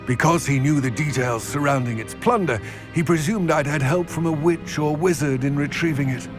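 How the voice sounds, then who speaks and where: Guy doing a serious goblin, formal n measured, mistrustful, fantasy game w/ clear music behind. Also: fairly high pitch, close to an everyday voice. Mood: grave, explanatory. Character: grave, wary adult male goblin, mistrustful of wizards. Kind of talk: fantasy video game dialogue. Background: background music.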